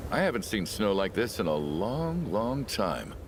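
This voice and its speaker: Very deep, genteel family man. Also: sing-song, unhurried, a little hiss or hum. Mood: courteous. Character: courteous, old-fashioned family man. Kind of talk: video game voice acting.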